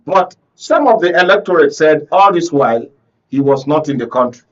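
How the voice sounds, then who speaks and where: High-pitched Nigerian man, emphatic political commentary. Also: animated, normal speed, dull audio. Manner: emphatic, rhetorical, checks listeners follow with questions. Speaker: panel guest, conflict reporter. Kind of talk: political commentary on a talk show. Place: radio and TV studio discussion.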